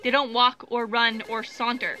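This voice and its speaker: A woman's voice: on the high side, level tone, normal speed, sharp tone, faint music behind. A woman playing an eager, scatterbrained fact checker, chirpy and pedantic, in comic sci-fi audio theatre. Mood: chirpy, insistent. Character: eager, scatterbrained young female fact checker on a cosmic broadcast.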